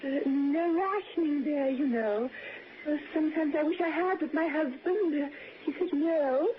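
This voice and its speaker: A woman's voice: high-pitched, moderate pace, lightly acted. A woman playing a genteel, vague, deluded English lady of the manor with a British accent, in 1960s radio drama style. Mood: vague, airy. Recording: noisy, muffled old radio broadcast.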